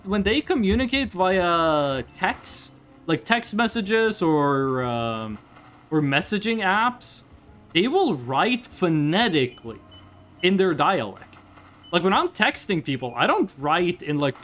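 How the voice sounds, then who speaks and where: A man's voice: high-pitched, very animated, slow pace, fairly bright tone. A man from Norway, a native Norwegian speaker, chats casually on a game livestream in a rambling, curious way and swears freely. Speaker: game streamer. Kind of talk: casual livestream chat over gameplay.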